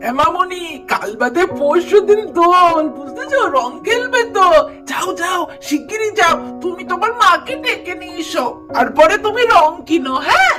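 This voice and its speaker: Woman doing a male street seller doll, squeaky high, coaxing, sing-song calls, cartoon, music behind. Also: big pitch swings, normal speed, far from the mic. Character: friendly male street vendor doll, coaxing, calling out wares. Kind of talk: Bengali doll-story cartoon acting.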